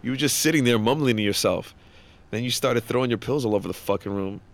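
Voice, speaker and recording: A man's voice: mid-range voice, fast, lightly acted. A man playing a brash, blunt coworker who talks in bro-ish slang in an audio drama. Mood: amused teasing. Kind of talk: naturalistic audio drama dialogue.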